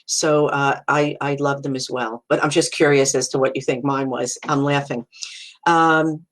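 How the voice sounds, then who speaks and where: A middle-aged woman from Brooklyn speaks in a low voice with a clear Brooklyn accent. She is hosting a casual live stream and reassures her viewers warmly. Her phrases often restart, and she fills them with "you know.". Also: steady tone, moderate pace. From Brooklyn, New York. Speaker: psychic medium hosting a live video.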